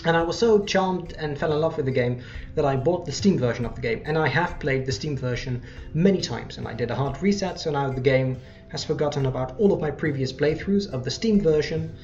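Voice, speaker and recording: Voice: mid-range voice, a little fast, darkish tone, highs cut off. A person giving casual let's play commentary, rambling and correcting themselves along the way. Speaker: gameplay host.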